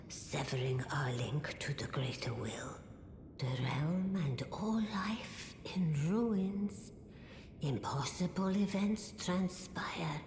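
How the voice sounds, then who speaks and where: In a reverberant hall over loud rumble, an ancient oracle crone speaks in a low, breathy, aged voice. She is cryptic and portentous, drawing out each phrase very slowly, as in a dark fantasy game. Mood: musing, conspiratorial. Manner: slow, portentous, musing. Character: ancient blind crone, oracle serving the fingers, cryptic and grave. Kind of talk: theatrical fantasy game acting. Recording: echoey hall, loud rumbling background noise.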